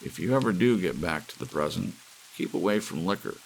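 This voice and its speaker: A man reads as an intense scientist in a sci-fi audiobook. The voice is low with a creaky edge, urgent and instructive, over faint rain noise. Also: expressive, a little fast, low-quality recording. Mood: warm advice. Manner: urgent, advising. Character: John Erickson, intense male scientist with thinning hair.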